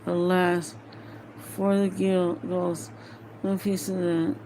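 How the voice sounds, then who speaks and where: An amateur reader giving a halting read-aloud of a fantasy novel, hesitant and often stumbling over words. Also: medium pitch, flat delivery, mellow, a little hiss or hum, low-quality recording.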